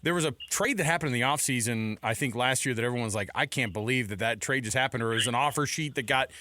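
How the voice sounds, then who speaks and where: A man's voice: medium pitch, fast, sharp tone, dry recording. A man hosting casual sports talk radio, joking and teasing, with rambling questions, close on a studio mic.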